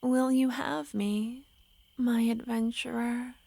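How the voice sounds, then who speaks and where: A woman voicing an ancient, lovesick female vampire who pleads softly and slips into whispers, in an ASMR roleplay. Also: a little high, flat delivery, slow pace, gravelly, dry recording, exaggerated voice. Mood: pleading. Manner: soft, pleading, drifting into whispers.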